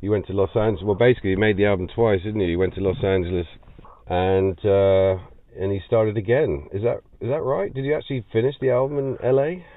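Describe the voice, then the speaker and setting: A man with a very deep voice interviews a musician in a relaxed radio style. He asks short, chatty questions and confirms with a quick "yeah". The audio is narrow and thin, like a phone line. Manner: brief affirmations, conversational questioning. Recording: narrow lo-fi broadcast sound.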